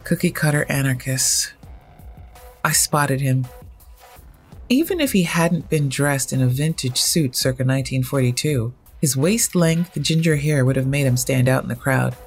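Husky storyteller, medium pitch, first-person horror narration, measured, dramatic, faint music underneath.

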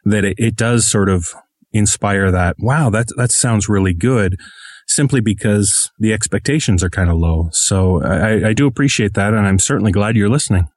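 Guy being interviewed, lowish voice, modest and self-deprecating, dry close sound. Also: normal speed, dry recording. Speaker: podcast host interviewed as guest. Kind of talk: casual interview conversation.

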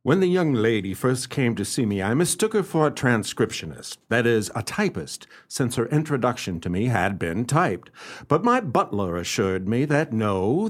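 A man playing a courtly, self-satisfied gentleman detective in a radio play, speaking in a formal, pompous, theatrical way. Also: medium pitch. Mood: smug narrating. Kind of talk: radio play acting with first-person narration.

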